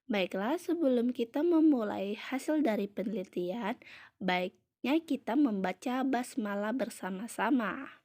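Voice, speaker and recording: A young woman speaks in a fairly high voice, recorded close and dry. She reads her research presentation aloud formally, like a student reciting from a prepared text. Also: darkish tone, no echo. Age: young adult.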